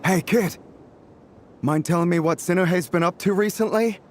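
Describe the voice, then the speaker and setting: A man's voice: high voice, moderate pace, slight background noise, lightly acted. A man playing an eager, wheedling musician uncle in a video game.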